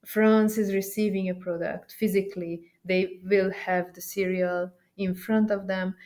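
A woman presenting as a software trainer, explaining a demo conversationally and repeating words as she searches for phrasing. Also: medium pitch, little pitch movement, a little slow.

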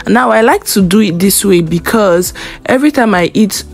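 A woman's voice: mid-range voice, expressive, moderate pace, vocal fry, soft background music. A young Nigerian woman with a Nigerian English accent, talking casually through a home cooking recipe with chatty asides. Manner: chatty, instructive, with loose asides. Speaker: cooking vlogger.

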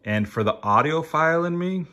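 A middle-aged man giving a reflective personal review, in short emphatic phrases with rhetorical questions and halting pauses. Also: fairly high pitch, expressive, sharp tone. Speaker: audio hobbyist reviewer.

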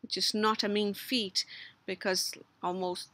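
A woman's voice: medium pitch, flat delivery, measured pace, pushed and bright, no echo. A middle-aged woman with a Brazilian Portuguese accent, a logician giving a recorded memorial tribute, partly read, hesitant, with many fillers and restarts. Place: outdoors under a patio umbrella in a garden.

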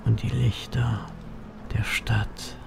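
Guy doing a moody sardonic grim reaper narrating a horror audio drama, gravelly, music behind. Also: fairly low pitch, level tone, slow pace, exaggerated voice. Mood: weary, brooding. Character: brooding, wry male grim reaper ferryman narrating.

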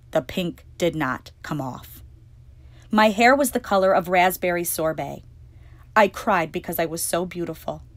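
A woman hosting storytime, reading a children's picture book aloud with expressive, clearly phrased delivery. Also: mid-range voice, no room sound. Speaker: storytime reader and host.

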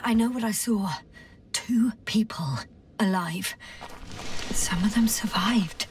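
An actress playing a weary, stubborn scientist in a video game, speaking in a breathy, halting, wry way. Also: female voice, medium pitch, recorded from a distance. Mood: insistent, guarded. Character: weary, stubborn female space-mission scientist exploring a planet. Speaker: game protagonist, doctor on a space crew. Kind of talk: naturalistic video game voice acting.

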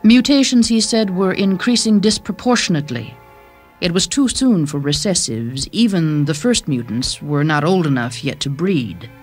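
A woman's voice: fairly low pitch, animated, normal speed, slightly rough. A woman giving a dramatic scripted reading of a short story. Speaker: story narrator. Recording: music bed behind the voice.

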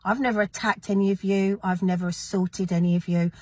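Gravelly woman, husky and hoarse. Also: mid-range voice, fairly even intonation, brisk, no echo, studio quality. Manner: indignant, emphatic, addressing critics directly. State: gravelly, recovering from illness, with a cough. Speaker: activist vlogger. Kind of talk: direct-to-camera vlog.

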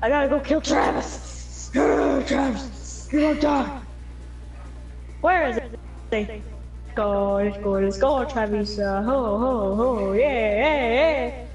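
A woman streaming a racing game with friends, shouting, laughing and teasing her teammates. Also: fairly high pitch, takes it slowly, poor audio. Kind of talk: excited gameplay chat with teammates. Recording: game music playing behind.

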